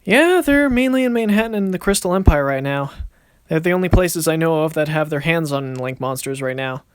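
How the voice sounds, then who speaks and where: A man's voice: a little high, expressive, fairly quick, smooth, no room sound, clean studio sound, close to an everyday voice. A man voicing a confident, cocky but good-natured young card duelist in casual, swaggering banter for an amateur anime-style series. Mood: casual, friendly.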